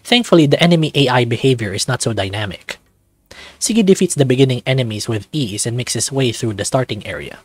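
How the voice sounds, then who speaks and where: A man's voice: medium pitch, fast talker, husky, dry recording. Man narrating a visual novel. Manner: even, second-person storytelling.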